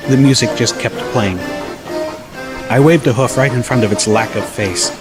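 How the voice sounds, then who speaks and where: A man's voice: medium pitch, moderate pace. A man reading scripted first-person story narration as an audiobook narrator. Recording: loud music bed under narration. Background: music.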